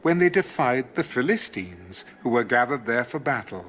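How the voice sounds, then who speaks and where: A man narrating scripture as formal, measured, dramatic storytelling. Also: medium pitch, sing-song, a bit bright. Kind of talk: dramatic audio Bible narration. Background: music behind the voice.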